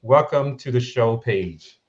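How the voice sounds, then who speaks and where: A man hosting a panel talk show, speaking in a measured way with frequent pauses. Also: medium pitch, highs cut off.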